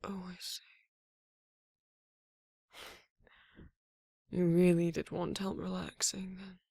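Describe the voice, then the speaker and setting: Someone voicing a breathy, whispering, tender vampire lover. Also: mid-range voice, flat delivery, moderate pace, warm tone, no echo, clean studio sound. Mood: gentle, coaxing. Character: tender, courtly vampire lover with hypnotic allure. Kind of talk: ASMR romance roleplay.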